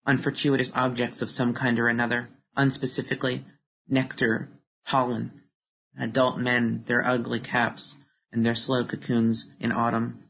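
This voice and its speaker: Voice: mid-range voice, completely flat delivery, moderate pace, gravelly, husky. A poet reading their own work aloud as a literary recitation, in a slightly dull recording. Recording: dull recording with faint noise.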